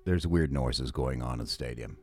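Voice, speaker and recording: A man hosting a sports podcast in a casual, animated, jokey and self-deprecating way. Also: extremely low pitch, normal speed. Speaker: podcast host and sports broadcaster. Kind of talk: casual chat.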